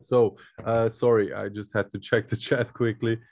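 Guy in a casual interview, soft mellow voice, english, kinda searching for his words. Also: fairly low pitch, little pitch movement, moderate pace. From Switzerland. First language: not English. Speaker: guest, crypto project team member.

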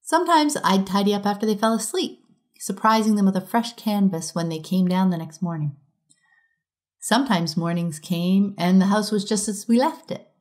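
Middle-aged female host, measured reflective read of a prepared talk, mid-range pitch. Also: darkish tone. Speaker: podcast host giving a conference talk.

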